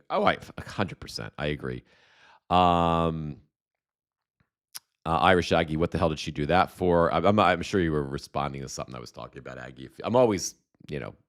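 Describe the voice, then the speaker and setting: A very low-pitched man hosting a casual live stream, his voice smooth and resonant though a slight cold is wearing it down. He rambles and restarts as he reads out viewer comments, and his talk is thick with fillers. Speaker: livestream host.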